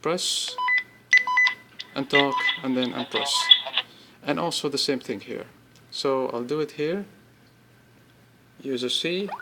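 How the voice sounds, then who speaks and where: A man giving an informal, unscripted product demonstration, speaking haltingly in short pieced-together bursts. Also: mid-range voice, heavy background noise. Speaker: app demonstrator.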